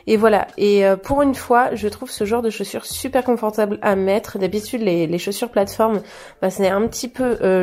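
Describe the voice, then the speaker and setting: A woman's voice: mid-range voice, a little mellow. A young woman chatting casually to camera about clothes she bought, hesitant, with many fillers and small laughs. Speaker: fashion vlogger. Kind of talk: casual haul chat to camera.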